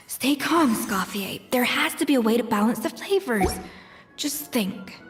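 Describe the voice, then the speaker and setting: A woman's voice: on the high side, lively intonation, slow pace, soft background music. An actress playing an earnest, determined young woman in a video game. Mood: tense, focused.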